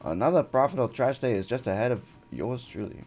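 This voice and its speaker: A man's voice: mid-range voice, lively intonation, darkish tone, soft background music, lightly acted. A man voicing a pompous, self-satisfied male crab landlord in a video game, drawing out his words. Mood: smug. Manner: drawn-out, self-important delivery.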